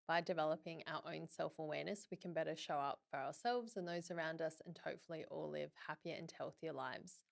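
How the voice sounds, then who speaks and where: Woman podcast host in her 30s, slightly low voice, candid personal storytelling. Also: fairly even intonation, fairly quick, vocal fry, hardly any pauses. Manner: chatty, candid, self-deprecating.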